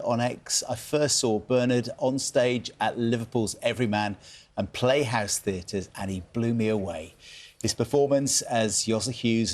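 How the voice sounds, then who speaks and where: A man with a British accent presenting broadcast news in a measured studio delivery. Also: mid-range voice.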